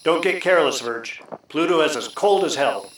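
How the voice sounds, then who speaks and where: A man's voice: a little high, lively intonation, normal speed, a bit bright. A man playing a wry, plain-spoken patrol comrade who urges caution in a science fiction radio drama. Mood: wry warning. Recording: distant, echoey, faint noise.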